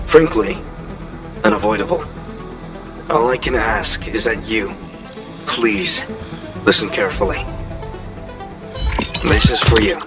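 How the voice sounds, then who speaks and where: A man playing an earnest inventor and leader in a comic dub, delivering a grave, measured, pleading message. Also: medium pitch, natural voice. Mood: earnest, urgent. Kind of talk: dramatic comic dub acting. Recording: voice over background music. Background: music.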